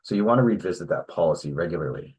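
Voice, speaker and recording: Low, husky-voiced man presenting. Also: expressive, a little mellow. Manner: measured, explanatory, says "right?" often. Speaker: webinar moderator and presenter. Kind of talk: online webinar presentation.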